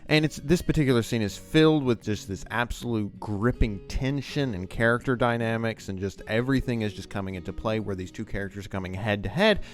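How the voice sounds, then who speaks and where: A man with a fairly low voice gives casual, unscripted commentary. He talks in a loose, emphatic, conversational way, with music playing behind him. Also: brisk, few pauses. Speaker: video essayist host.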